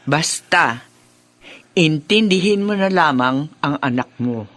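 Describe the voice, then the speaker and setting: A woman's voice: deep voice, expressive, takes it slowly, fairly bright tone, no echo, exaggerated voice. A woman playing a concerned, reasoning sister of a widower in a Filipino radio drama. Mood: concerned, pleading.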